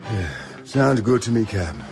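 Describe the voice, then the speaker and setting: An actor in a radio drama playing a tough, loyal island henchman with a Caribbean accent and rough dialect. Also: lowish voice, lively intonation, moderate pace, a little mellow, faint music behind. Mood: rueful, easygoing.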